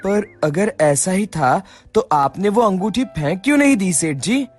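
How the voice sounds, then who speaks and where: A man's voice: high voice, lively intonation, measured pace, soft background music. A man playing a chatty, cheeky young shop co-worker in a Hindi moral-story cartoon. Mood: curious, cheeky.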